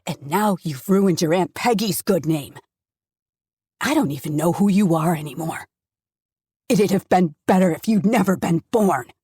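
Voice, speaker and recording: Resentful middle-aged dad, lowered and harsh, as read by a woman narrator, dry studio sound. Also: a little slow, breathy edge, no echo. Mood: furious. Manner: shouting, then cold and flat. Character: angry cold middle-aged father, resentful.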